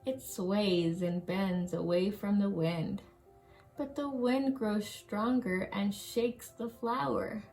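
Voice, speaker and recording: A woman reading a picture book aloud to young children in an expressive storytelling manner. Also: mid-range voice, slow pace, soft background music. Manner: expressive, with exclamations and questions to young listeners. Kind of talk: children's read-aloud narration.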